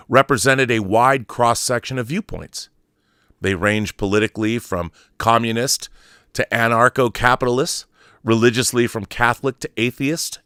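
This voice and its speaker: A man's voice: medium pitch, fairly bright tone, no room sound, clean studio sound. A man hosting an online show, reading a long article aloud and adding brief asides. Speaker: YouTube host.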